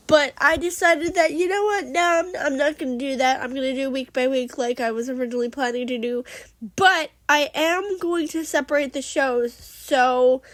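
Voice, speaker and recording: A high-pitched woman talks through a casual solo podcast recap, a bit sniffly as she gets over a cold. She rambles along with plenty of fillers and restarts. The sound is dry and close, with no room around it. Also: no echo. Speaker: podcast host.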